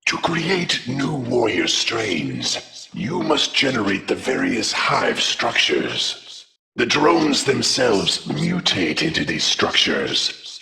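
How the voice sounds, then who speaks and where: Alien swarm commander, game character, extremely gravelly, deep, stern, imperious, measured, noisy audio. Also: male voice, bright, forceful tone, exaggerated voice. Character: monstrous alien brood commander, stern loyal servant of the swarm. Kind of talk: video game character briefing.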